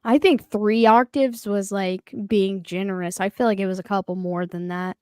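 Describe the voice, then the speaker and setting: A woman co-hosting a live football stream in casual chat, excitable and quick to react to big plays. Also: medium pitch, clean tone, dry recording, studio quality.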